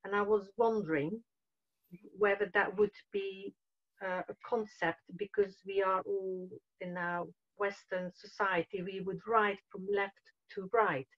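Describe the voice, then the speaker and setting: A woman's voice: mid-range voice, steady tone, unhurried. Woman, fifties, hesitant, searching for words, muffled call audio. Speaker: participant. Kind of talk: hesitant casual chat. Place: small room at home. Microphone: video call.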